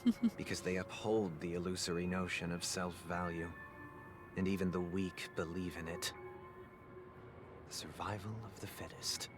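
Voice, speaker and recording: Man playing a low-voiced, courteous gentleman leader. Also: fairly even intonation, normal speed, lightly acted. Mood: grave, persuasive. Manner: formal, polite, philosophical. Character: courteous, composed young gentleman leader, quietly idealistic. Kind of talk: video game voice acting. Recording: loud music under the voice.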